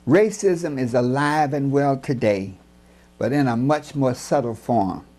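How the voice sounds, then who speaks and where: A man reading his own book as scripted narration, in a grave, deliberate and emphatic oratorical manner. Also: mid-range voice, darkish tone.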